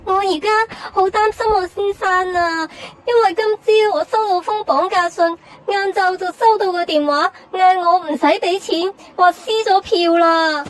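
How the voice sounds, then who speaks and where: A distraught wife pleads anxiously in a radio drama. The voice is extremely high, with a Hong Kong accent and a worried, melodramatic urgency. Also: female voice, unhurried, hardly any pauses, poor audio. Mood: worried, tearful. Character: distraught wife of a kidnapped man. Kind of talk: Cantonese radio drama acting.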